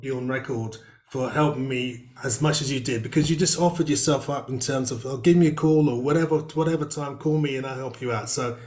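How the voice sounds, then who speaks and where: A man's voice: mid-range voice, steady tone, a little fast, few pauses, darkish tone. Chatty male host on a video call, rambling delivery, room echo. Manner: chatty, rambling with many fillers. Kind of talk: casual video-call interview.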